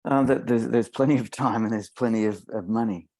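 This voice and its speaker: A man in his fifties speaking in a coaching session over a video call, hesitant and halting, with many pauses. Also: on the low side, darkish tone. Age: 50s.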